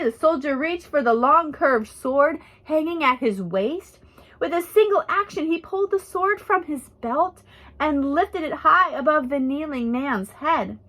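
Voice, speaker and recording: A woman reading a biographical storybook aloud as scripted narration, in an expressive storytelling manner. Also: sits high, rough recording. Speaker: audiobook reader.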